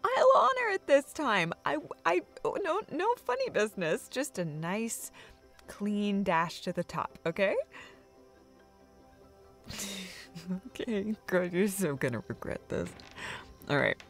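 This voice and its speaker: A woman's voice: medium pitch, sing-song, slow pace, pauses often, soft background music. A woman playing a playful, nervous young woman in an intimate romantic audio roleplay, hesitant and stammering, with a teasing, giggly edge. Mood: playfully competitive. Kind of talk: intimate romantic audio roleplay, naturalistic improvised-sounding dialogue.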